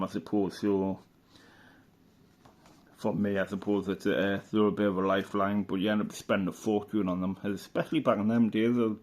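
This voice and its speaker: A man's voice: on the low side, a little mellow. A middle-aged man, talking informally and hesitantly as he thinks aloud through a show-and-tell. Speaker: hobbyist vlogger. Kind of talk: casual rambling show-and-tell commentary.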